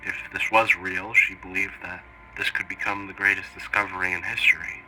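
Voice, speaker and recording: A man playing an earnest witness, slowly and haltingly recounting his story in an interview for a mock documentary. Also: deep voice, little pitch movement, sharp tone, slight background noise, poor audio. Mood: sober, reflective. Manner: halting, deliberate, pausing mid-sentence.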